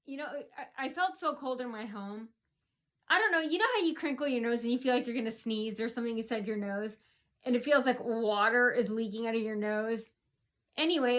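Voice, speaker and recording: A woman vlogger talks on and on to her audience in a fairly high, tense, bright voice. She wanders from point to point and breaks off to restart her sentences. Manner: rambling with many fillers and restarts. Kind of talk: rambling personal monologue.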